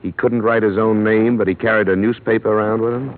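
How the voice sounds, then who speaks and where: An actor playing a hard-boiled insurance investigator, narrating tersely in a 1950s radio drama. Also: male voice, on the low side, fairly even intonation, a little fast, a little hiss or hum, natural voice. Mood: probing. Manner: terse first-person narration. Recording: tinny old radio broadcast with music.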